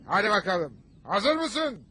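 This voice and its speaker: A man's voice: high-pitched, sing-song, unhurried, sharp tone, breathy edge, full character voice. A man playing a grumpy, stingy middle-aged father in a dubbed cartoon, scolding and sarcastic. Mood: teasing, needling.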